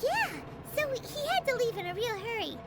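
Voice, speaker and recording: A woman voicing a tiny, bossy, childlike fairy guide with a squeaky, pert delivery in a video game. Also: big pitch swings, normal speed, over loud music. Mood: cheerful. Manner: refers to herself in third person, pert.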